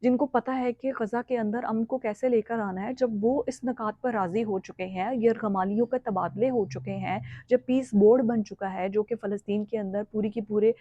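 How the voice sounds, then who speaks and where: A woman's voice: fairly high pitch, fairly even intonation, normal speed, few pauses. A woman with a Pakistani accent giving current affairs commentary as a vlogger, in an emphatic way. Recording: echoey room, slightly dull sound.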